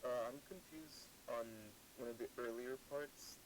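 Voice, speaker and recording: A man speaking as a student, casually asking questions in a class, on a quiet, distant mic. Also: mid-range voice, fairly even intonation, unhurried, slight background noise.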